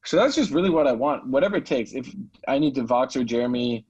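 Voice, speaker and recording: A man's voice: medium pitch, expressive, fast, a little mellow, husky, highs cut off. A man speaking casually and candidly, a little self-effacing, as a salesman on a recorded call. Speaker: salesman, prospective coaching client. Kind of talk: casual, candid phone conversation.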